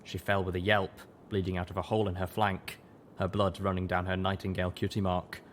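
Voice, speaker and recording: A man's voice: low-pitched, flat delivery, fairly quick, slight background noise. A man reading scripted first-person story narration as an audiobook narrator. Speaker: audiobook reader, show narrator.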